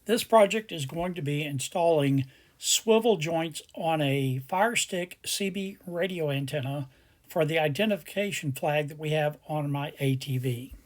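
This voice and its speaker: Higher-pitched man narrating a how-to. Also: moderate pace. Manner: plain step-by-step explaining. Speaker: DIY tutorial host.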